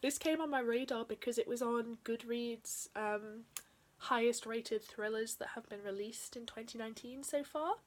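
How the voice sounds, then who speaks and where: A young woman in her twenties, slightly croaky after being ill, chatting casually about books from a small bedroom, with frequent ums and soft trailing endings. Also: fairly high pitch, normal speed. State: slightly croaky from a chest infection. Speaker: book vlogger. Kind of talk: casual book haul chat.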